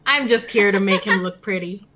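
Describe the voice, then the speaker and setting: A woman's voice: mid-range voice, normal speed, very noisy, close to an everyday voice. A woman voicing a perky, teasing young female legal assistant in an amateur live game dub. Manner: playful, teasing. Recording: music playing behind the voice.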